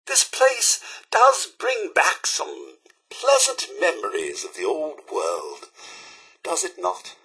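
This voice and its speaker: A devoted British robot butler from a video game, fussy and flowery in speech. The voice is bright and forceful with a husky edge, and swings widely in pitch. Every phrase is courteous and theatrical. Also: male voice, full character voice, low-quality recording. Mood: wistful, nostalgic. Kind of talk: scripted video game companion dialogue.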